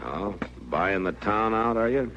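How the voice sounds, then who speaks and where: Through a dull, faintly noisy old radio recording comes a frontier marshal with a deep American voice. He is plain-spoken, measured and quietly in command. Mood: gently probing. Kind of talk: 1950s radio western acting.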